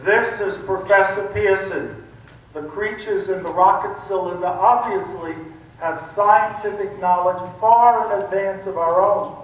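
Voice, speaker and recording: A man playing a learned, sober professor giving a measured explanation in an old-time radio play. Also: high voice. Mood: sober concern. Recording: echoey hall from far off.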